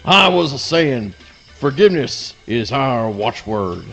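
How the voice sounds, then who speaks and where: Self-important hound dog, stately slow delivery, animated pitch, genteel, declamatory, comic radio play. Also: male voice, gravelly, faint music behind, full character voice. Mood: lofty. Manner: pompous, declamatory. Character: pompous, self-admiring male hound dog, genteel and grandiose.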